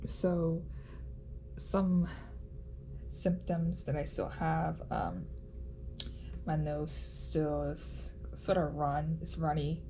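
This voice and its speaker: Woman vlogging, kinda nasal, talks slow w lots of ums, noisy background. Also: low-pitched, little pitch movement, dark tone. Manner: chatty, halting, with many ums and uhs. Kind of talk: casual vlog update.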